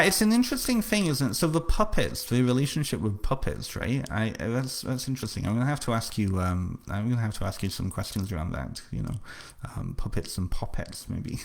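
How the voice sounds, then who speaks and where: A man with a slight North Welsh accent, hosting a live book launch and answering viewers' comments in a halting, casual way with lots of fillers and repeated words. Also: mid-range voice. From North Wales.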